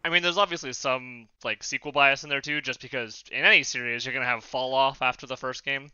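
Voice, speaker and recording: A man's voice: mid-range voice, fairly even intonation, fairly quick, bright, forceful tone, smooth, dry recording, muffled recording. A man talking casually as a panelist in a podcast discussion.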